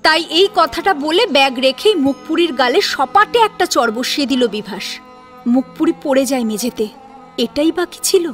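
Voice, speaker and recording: A woman reads a scripted drama as its narrator. Her voice is high and her delivery is expressive, in a dramatic storytelling style. Faint music plays underneath. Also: moderate pace.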